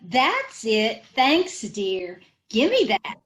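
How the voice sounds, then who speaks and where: Woman as scatterbrained wife, syrupy and slow; 1930s radio comedy. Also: a little high, wildly swooping pitch, a bit bright, heavily acted. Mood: sweetly dotty. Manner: slow, dotty, syrupy sweet. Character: scatterbrained sweet-talking wife Jane. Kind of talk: amateur old-time radio play reading.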